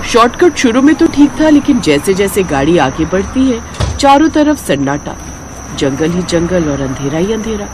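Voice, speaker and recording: A woman narrates a scripted cartoon tale with a very animated, medium-pitched delivery. Faint ambient noise sits behind her storytelling. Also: normal speed.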